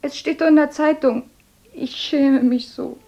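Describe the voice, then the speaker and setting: A timid young girl with a high, clear voice in a radio drama. She speaks quietly and hesitantly, as if ashamed to be heard. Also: fairly even intonation, unhurried, muffled recording. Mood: bashful. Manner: timid, embarrassed. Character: shy young woman, bashful and ashamed. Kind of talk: radio play acting.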